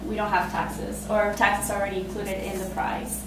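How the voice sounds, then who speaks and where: A woman's voice: medium pitch, level tone, noisy background. Woman in her twenties, far off in an echoey room. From Costa Rica. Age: 20s. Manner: casual, halting, laughs often, many fillers. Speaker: immigrant telling her story. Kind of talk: personal spoken testimony.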